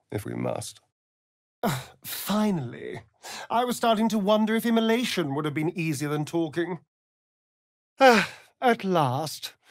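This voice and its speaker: An actor with an English accent playing a sardonic, flamboyant vampire spawn rogue in a video game, theatrical and arch in manner. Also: male voice, a little high, creaky, no room sound, studio quality, exaggerated voice. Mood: arch, weary. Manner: theatrical, arch, drawling with sighs. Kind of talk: video game character acting.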